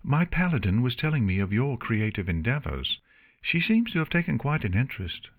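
A regal storybook pony princess acted out by a man speaks above a man's usual low register. She is cool, formal and haughty, clipping her words with dry wit. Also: sing-song, a little slow, no echo, narrow, tinny audio, heavily acted. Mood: cool, poised.

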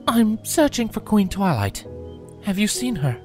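A man voicing a soft-spoken regal princess. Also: sits high, very animated, unhurried, soft background music, voice pushed up. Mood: gentle. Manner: soft, gentle and apologetic. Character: gentle regal older princess, sorrowful, kind.

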